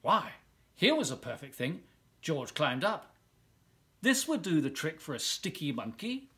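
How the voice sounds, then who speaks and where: Guy reading a picture book to kids, bright voice, really animated, draws words out for drama. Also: a little high, unhurried. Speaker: volunteer children's storybook reader. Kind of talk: expressive read-aloud of a children's picture book.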